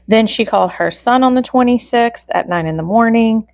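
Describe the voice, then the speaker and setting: A woman's voice: fairly high pitch, normal speed, gravelly, a little mellow, no room sound. A woman hosting true crime commentary, reading through a log informally and item by item, with wry asides.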